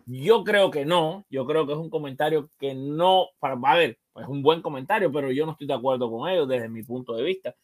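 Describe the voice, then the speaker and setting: A man's voice: on the high side, brisk, hardly any pauses, a little mellow. A man hosting a sports show, discussing baseball casually and emphatically, often repeating phrases to drive a point home.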